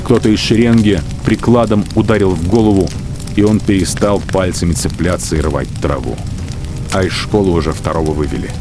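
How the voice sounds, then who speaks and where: A man narrating a literary radio drama in measured, emphatic short phrases. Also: deep voice. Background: music under the narration.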